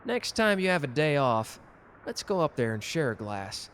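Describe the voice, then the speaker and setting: A man's voice: on the high side, sing-song, moderate pace, fairly bright tone, a little hiss or hum. A man playing a cocky, gallant and protective customer who flirts teasingly in a romantic roleplay audio drama. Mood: teasing, friendly. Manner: suave, teasing, intimate one-sided conversation.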